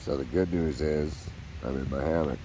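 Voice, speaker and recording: Low-voiced man with a stuffy nose. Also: normal speed, noisy background. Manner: relaxed, rambling, many uh fillers. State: stuffy nose and sore throat, nasal. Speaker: backpacking vlogger. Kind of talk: casual vlog talk to camera. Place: outdoors on a hiking trail.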